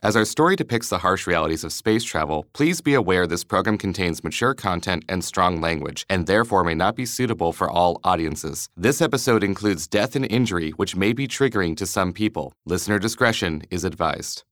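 A man's voice: low-pitched, animated, a little fast, hardly any pauses, dry recording, clean studio sound. A man reading scripted credits and content notices as an announcer for an audio drama. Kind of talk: scripted narration. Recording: loud guitar music behind the voice.

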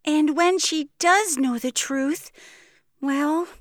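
An actress playing a gentle, wistful guardian fairy who speaks tenderly in a musical fairytale audio drama. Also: female voice, sits high, slow, no echo, clean studio sound. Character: gentle, wistful fairy guardian fretting over a grown girl.

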